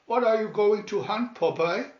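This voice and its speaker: A man as a high-voiced storybook shopkeeper. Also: animated, moderate pace, reverberant, muffled recording. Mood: inquiring. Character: matter-of-fact male shopkeeper in a cartoon storybook.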